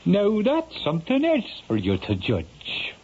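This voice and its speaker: An actor playing a sly, teasing, mysterious Irishman in a radio drama, with an Irish accent and drawn-out, riddling, lilting speech. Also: sits high, wildly swooping pitch, a little mellow, husky, heavily acted. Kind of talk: 1970s radio drama acting. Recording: dull lo-fi vintage radio recording.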